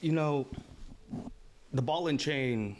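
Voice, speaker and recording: A young man co-hosting a podcast, chiming in with quick agreements and jokes in casual chat. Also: on the high side, a little mellow, a little hiss or hum. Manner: chiming in with quick affirmations, joking. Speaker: podcast co-host.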